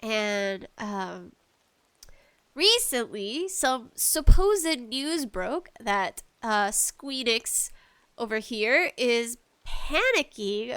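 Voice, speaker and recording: A woman hosting a casual gaming podcast, talking solo in a slangy, conversational way with animated asides. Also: fairly high pitch, clean tone, dry recording. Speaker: podcast host.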